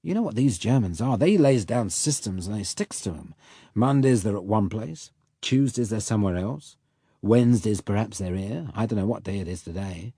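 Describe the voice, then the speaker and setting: Man voicing a gruff Cockney boatman. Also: lowish voice, lively intonation, normal speed, warm tone, no echo, clean studio sound. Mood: persuasive. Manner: blunt working-class slang, gruff and earnest. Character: rough Cockney boat mechanic, middle-aged man.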